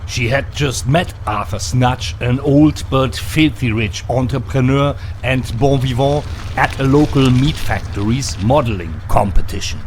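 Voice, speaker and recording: A man reading dramatic scripted narration for a motion comic in a portentous, measured way. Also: medium pitch, darkish tone, a little hiss or hum. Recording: clear music behind the voice. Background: music.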